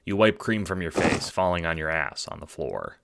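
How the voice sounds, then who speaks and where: A man's voice: sits low, sing-song, normal speed, gravelly, a bit bright. A man narrating an audio drama in the second person, with dramatic storytelling delivery. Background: music.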